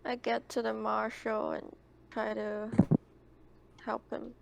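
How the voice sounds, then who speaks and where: Female tabletop player, strong creak, fairly even pitch, casual, clearly noisy recording. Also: slow. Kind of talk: casual game chat. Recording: noisy mic with clicks.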